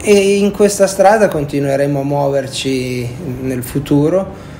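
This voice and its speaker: A man, a team's sporting director, giving a deliberate, formal explanation of new season rules. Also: a little high, warm tone, slight background noise. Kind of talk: formal statement to camera.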